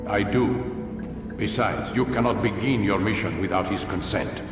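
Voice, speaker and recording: In a big reverberant hall with music behind, a man plays a low-voiced, aging assassin master from a video game. He is stern and solemn, commanding and fatherly, measuring out each grand phrase. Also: normal speed, recorded from a distance, lightly acted. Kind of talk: dramatic video game cutscene acting.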